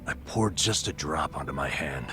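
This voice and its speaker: A man's voice: extremely low pitch, expressive, moderate pace, noisy background. An actor playing a stressed, boastful middle-aged vagabond in a video game cutscene, telling his tales dramatically and colloquially. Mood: dreamy, boastful.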